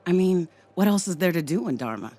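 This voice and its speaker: A woman's voice: fairly low pitch, animated, fairly quick, dark tone. A woman playing a brainy young scientist in a video game, with a dry, casual, sarcastic delivery. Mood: hopeful, casual. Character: wry, brainy young female scientist, sarcastic but hopeful.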